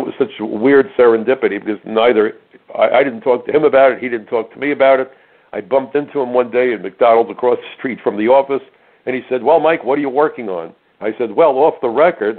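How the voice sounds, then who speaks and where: A man's voice: medium pitch, fast talker. An older man hosting a radio talk show, telling stories emphatically with sarcastic asides. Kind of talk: conservative talk radio monologue. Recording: narrow phone-like radio audio.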